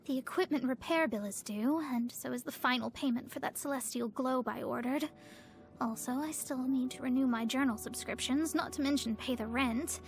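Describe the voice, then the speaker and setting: A woman's voice: high-pitched, normal speed. An actress voicing a proud, haughty young woman astrologer in a video game, speaking in a formal, pompous, theatrical way. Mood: fretting. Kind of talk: scripted video game character voice lines.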